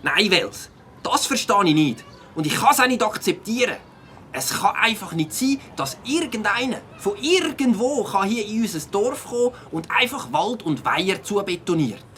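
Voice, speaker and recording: An excitable, outspoken youngster, voiced by an actor in a Swiss German children's audio play. The voice is bright and forceful and swings widely in pitch. There is faint noise behind it. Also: normal speed, close to an everyday voice. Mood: indignant. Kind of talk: children's audio drama acting.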